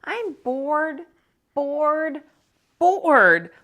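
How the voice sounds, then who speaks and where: Lady doing an excitable little girl from a picture book, high pitched, childlike and emphatic. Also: very slow, full character voice. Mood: bored. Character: young neighbor girl, easily bored, excitable.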